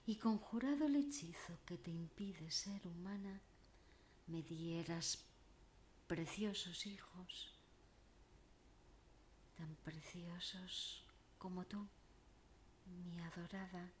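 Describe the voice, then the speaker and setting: A woman's voice: medium pitch, darkish tone, close to an everyday voice. A woman declaiming as a lovesick male troubadour in a poem, ardent, courtly and imploring.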